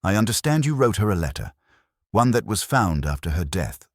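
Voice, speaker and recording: A man voicing a mild, courteous police inspector, gentle and understated but persistent, in a mystery story. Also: on the low side, sing-song, brisk, no echo, clean studio sound, voice pushed up. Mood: mild, probing.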